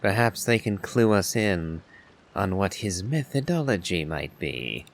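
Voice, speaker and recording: A man giving casual game commentary as a streamer, in a musing, wry and measured way. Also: deep voice, very animated, slight background noise. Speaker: gaming streamer.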